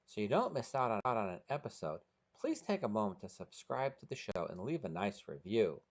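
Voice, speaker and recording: A man's voice: on the low side, big pitch swings, fairly quick. A man hosting a podcast and narrating a short story from a script.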